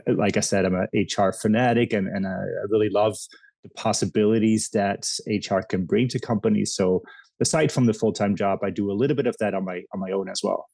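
A man from the Netherlands with a Dutch accent, chatting as a podcast guest, talking haltingly with lots of restarts and fillers. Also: fairly low pitch, steady tone, vocal fry, mellow, husky, dry recording. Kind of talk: casual interview chat.

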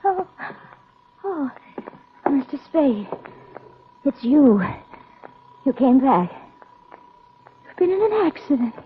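A woman acting a fragile, lonely rich young woman who is dazed and unravelling, halting and stammering in 1940s radio style. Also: sits high, very animated, noisy background, heavily acted. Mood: dazed, wistful. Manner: halting, stammering, repeating words. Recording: thin narrow-band old radio recording.

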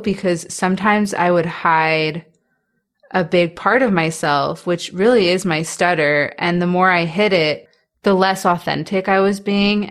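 A young woman in her twenties who stutters, speaking candidly as an interviewee with restarts, repeated sounds and light laughs. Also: lowish voice. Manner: soft-spoken, laughs between thoughts.

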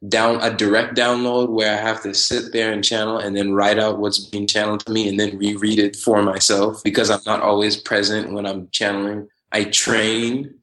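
A man in his twenties from Trinidad and Tobago, talking casually as a podcast guest, animated and quick to laugh. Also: on the low side, rough recording. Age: 20s. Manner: animated, laughs often, spiritual slang-heavy talk. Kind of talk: casual conversational interview.

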